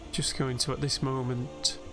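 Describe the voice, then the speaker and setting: A man gives soothing, unhurried, gentle guided sleep meditation commentary over a game. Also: medium pitch, over music. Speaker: host and narrator of a game meditation video. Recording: steady background noise, game nature ambience. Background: game ambience of river and birdsong.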